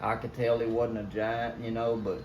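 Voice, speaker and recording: A man's voice: mid-range voice, level tone, moderate pace, darkish tone. An older man with a Southern US accent telling stories casually in a folksy, rambling way. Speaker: guest, veteran hunter telling stories. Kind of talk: casual storytelling chat. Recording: roomy echo with clear background noise.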